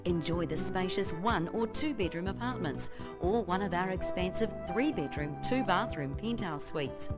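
A woman's voice: lowish voice, expressive, moderate pace. A woman reading a scripted commercial voice-over as announcer. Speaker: advert announcer. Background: loud music.

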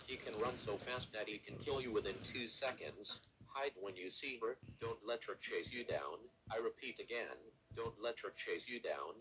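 A man's voice: fairly low pitch, moderate pace, few pauses, a bit bright, a little hiss or hum, close to an everyday voice, rough recording. A man playing a grave emergency alert announcer, reading scripted warnings in a stilted, formal way.

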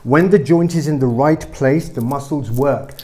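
A middle-aged man, a chiropractor, explaining a treatment lively and emphatically in a small clinic room. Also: mid-range voice, normal speed.

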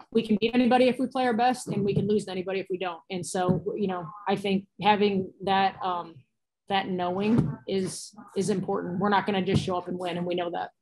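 A woman's voice: lowish voice, a little mellow, low-quality recording. A woman in her thirties with an American accent, a coach giving matter-of-fact, colloquial answers at a postgame press conference over a video call. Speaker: basketball coach. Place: small cinder-block room indoors. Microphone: laptop or phone on a video call.

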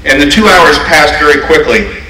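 A middle-aged man, a university president, giving an awards ceremony speech at a podium with a handheld mic, halting with frequent "uh" pauses. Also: fairly high pitch, animated, bright, forceful tone, recorded from a distance. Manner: halting, many "uh" pauses, reciting dates. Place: stage of a large auditorium. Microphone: handheld microphone at a podium. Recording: echoey hall, heard from afar.

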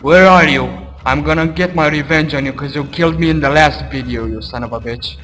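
Excitable man playing a horror game. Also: a little high, brisk, slight background noise. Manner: loud, excitable, goofy taunting of game enemies. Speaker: let's play gamer. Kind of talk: casual gameplay commentary. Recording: roomy reverb with game music behind.

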